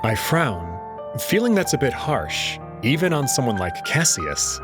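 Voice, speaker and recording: A man's voice: mid-range voice, sing-song, unhurried. A man reading a visual novel as dramatic first-person scripted narration. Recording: dry close voice over faint music.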